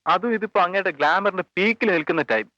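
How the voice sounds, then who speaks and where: A man's voice: high voice, fast talker, sharp tone, dry recording, muffled recording. A man playing a teasing, joking friend of a police officer in a 1980s film drama. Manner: teasing, playful.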